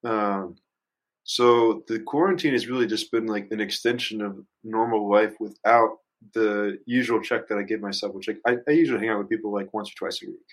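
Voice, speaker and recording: A man's voice: fairly low pitch, fairly even intonation, fairly quick, poor audio. A man in his early twenties chatting casually and reflectively as a musician guest, rambling thoughtfully with youthful slang. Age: early 20s. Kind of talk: casual reflective interview chat.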